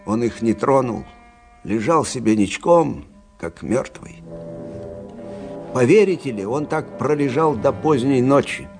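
Reminiscing old-soldier storyteller. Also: male voice, medium pitch, lively intonation, measured pace, long pauses, music in the background. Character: older army captain recounting his memories, plain-spoken storyteller. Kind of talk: Russian radio drama narration.

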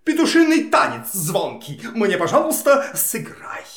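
A woman's voice: mid-range voice, wildly swooping pitch, measured pace, fairly bright tone. A woman playing a polite, proud rooster in a children's audio play, speaking verse in a courtly, theatrical way. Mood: pleading. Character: polite proud rooster, singing party guest. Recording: echoey, music behind.

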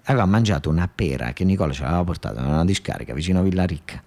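Highly animated southern Italian male storyteller. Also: rapid-fire. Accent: southern Italian, Neapolitan-flavoured. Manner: dramatic, earthy, repetitive emphasis. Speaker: actor-storyteller. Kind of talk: theatrical monologue narration with dialect.

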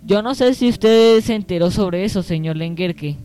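A Colombian man acts out a young small-town sceptic in an amateur radio drama. His voice is very high for a man. He is courteous, with a slight teasing edge. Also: normal speed, dark tone, faint music behind, lightly acted. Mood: informative.

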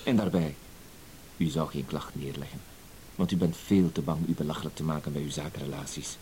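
An actor playing a cool, self-assured professional blackmailer in a radio drama, terse and matter-of-fact. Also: male voice, very deep voice, steady tone, brisk, dark tone. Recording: hissy old radio play recording.

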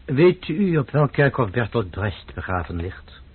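An actor playing a reserved, thoughtful foreign visitor who speaks formally and politely in a radio play. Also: male voice, medium pitch, big pitch swings, a little slow, breathy edge. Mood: grave, courteous. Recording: dull lo-fi old radio play recording.

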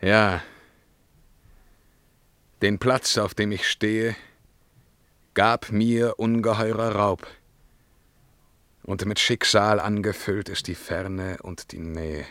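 An actor playing an imperious, brooding emperor in a verse radio drama, declamatory and commanding in a solemn, measured way. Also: male voice, fairly low pitch, fairly even intonation, fairly bright tone, dry recording. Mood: grandiose, exalted. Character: imperious, brooding Byzantine emperor, haughty and troubled.

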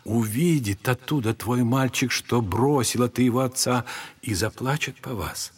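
Slow, husky old monastery elder. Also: male voice, medium pitch. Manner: slow, solemn, consoling, preacherly. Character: old monastery elder, compassionate, solemn spiritual counsellor. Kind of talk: audio drama acting.

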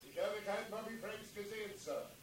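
A man's voice: high-pitched, brisk, husky. An actor playing a polite, indifferent male servant in a radio crime drama, deferential as he gives denials.

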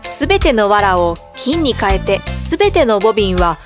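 A woman narrating a bedtime fairy tale in an expressive storytelling voice. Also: medium pitch, moderate pace. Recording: background music.

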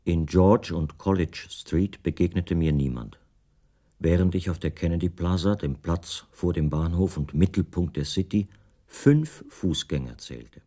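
Deep, dark-voiced solitary male writer. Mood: reflective, subdued. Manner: reflective, measured, restrained. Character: captive male writer soberly recounting his own story, solitary and observant. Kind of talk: literary first-person radio play monologue.

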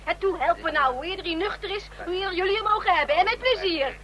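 Very high, brassy nagging wife. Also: female voice, animated, fast. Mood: cajoling. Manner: nagging, cajoling. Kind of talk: radio drama acting. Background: bustling crowd on a station platform.

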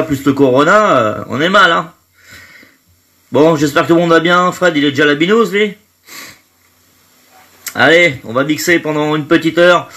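A man's voice: on the high side, fairly bright tone. Stuffy-nosed chatty man hosting a stream. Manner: chatty, greets viewers by name, trails off with fillers. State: stuffy from hay fever. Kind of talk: casual live stream chat greeting viewers. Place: at home.

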